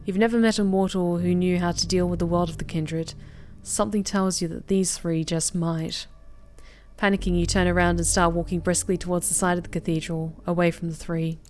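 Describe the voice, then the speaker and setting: A young woman streamer reading a visual novel aloud, with expressive bursts of excited commentary. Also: on the low side, a little fast. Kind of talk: game narration with live reactions.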